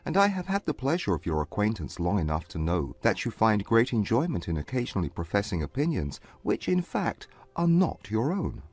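Man acting a low, husky, reserved gentleman. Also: huge pitch swings, no echo, soft background music, full character voice. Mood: reserved, polite. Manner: formal, measured. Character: proud, reserved young English gentleman. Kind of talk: dramatized audiobook acting.

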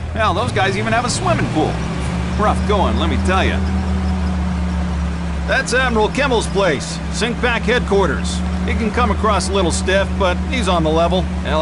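Folksy american navy chief, game dialogue, wisecracking, highish voice, loud car noise behind. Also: male voice. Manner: folksy, breezy. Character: chatty, wisecracking male Navy chief driving a newcomer. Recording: loud vehicle and outdoor noise. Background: car engine, outdoor ambience.

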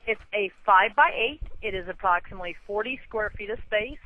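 A woman's voice: mid-range voice, a little fast, pushed and bright. An American woman working as a customer service agent, businesslike and matter-of-fact, heard over a telephone line. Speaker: storage rental phone agent. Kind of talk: customer service phone call.

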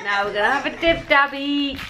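A woman's voice: high voice, animated, brisk, gravelly. A British woman in her thirties chatting casually and informally to a handheld camera as a travel vlogger. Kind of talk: casual handheld vlog chat. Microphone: camera at arm's length. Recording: noisy street or room ambience.

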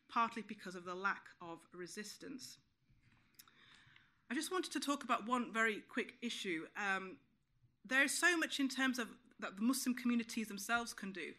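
A woman in her thirties speaks at a conference panel table, her voice bright and fairly high. She delivers a prepared policy speech, firm and pointed, stacking rhetorical questions.

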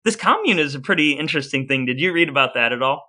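A man's voice: a little high, expressive, fast talker, pushed and bright. A man co-hosting a podcast in a casual, conversational, wry way, sometimes reading aloud. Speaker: podcast co-host.

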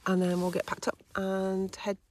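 A woman's voice: mid-range voice, monotone, normal speed. A woman in her thirties chatting casually to a phone camera held at arm's length outdoors on a hill, with lots of ums and little laughs. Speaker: hiking vlogger. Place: windy fell top and tent outdoors. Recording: faint wind noise outdoors.

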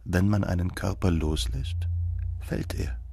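An actor in a radio play voicing a calm, dryly teasing male lover, a little jealous. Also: low voice, unhurried, gravelly, slightly rough, exaggerated voice. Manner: dry, gently teasing, calm.

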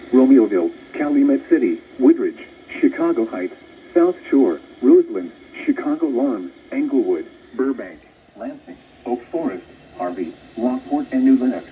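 A man's voice: medium pitch, recorded from a distance. A man's synthetic voice reading scripted weather warnings as an announcer, mechanically and without feeling, through a weather radio speaker. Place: indoor room, replayed from small radios. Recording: dull radio speaker, roomy, clear noise.

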